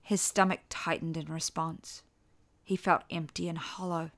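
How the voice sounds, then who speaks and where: Casting: female audiobook-style narrator, slightly low pitch, scripted dramatic storytelling. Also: flat delivery, a little slow, a bit bright. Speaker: author and narrator.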